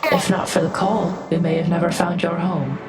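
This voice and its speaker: Alien diplomat, female actor, low register, courteous and curious, precise measured wording, sci-fi game dub over prominent music. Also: lightly acted. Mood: thoughtful, measured. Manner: formal, precise, measured phrasing. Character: polite, curious female alien envoy, formal and thoughtful. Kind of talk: fan dub of a sci-fi game, character dialogue and inner narration. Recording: music behind the voice.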